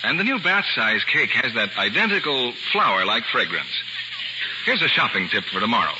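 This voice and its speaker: A man's voice: medium pitch, sing-song, normal speed. A man reading scripted 1940s radio advertisements as a commercial announcer. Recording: dull, hissy vintage radio recording.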